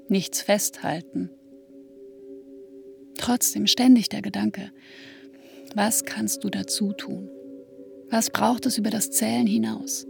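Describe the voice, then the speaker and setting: Casting: woman yearning for a child, introspective radio play monologue, slow, with creaky edges. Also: medium pitch. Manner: measured, hushed, addressing the listener as "you". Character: woman longing for a child, introspective, anxiously counting days. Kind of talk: literary radio drama monologue.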